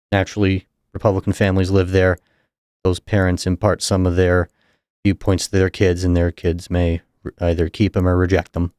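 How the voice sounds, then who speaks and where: A young man from New Hampshire, a political candidate, explaining things in a measured, casual interview manner. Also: sits low, darkish tone, dry recording, studio quality. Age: young adult. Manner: measured, explanatory, chuckles between points.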